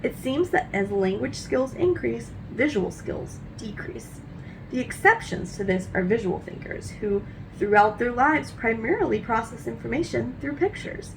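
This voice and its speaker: A woman with a fairly high, very animated voice reads a children's nonfiction book aloud. Her delivery has a marked creak at times and careful, teacherly phrasing. A faint noise with a low rumble sits behind her. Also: a little slow. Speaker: read-aloud host.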